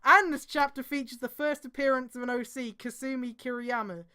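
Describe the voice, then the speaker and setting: A woman's voice: high-pitched, fairly even intonation, brisk, bright, forceful tone, clean tone. A woman streamer reading aloud and adding exasperated, sweary mocking commentary.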